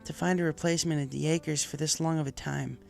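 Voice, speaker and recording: Guy doing a brash girl pegasus pony, heartfelt n casual, pitched up, storybook style. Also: fairly even intonation, quick pace, creaky, a little mellow, faint music behind. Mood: earnest. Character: brash, earnest young female pegasus pony flyer.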